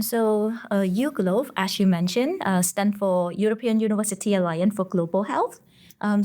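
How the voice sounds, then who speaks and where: A young woman from Cambodia, a master's student guest on a podcast, answering slowly and hesitantly with many pauses. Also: mid-range voice, darkish tone. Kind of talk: interview answers.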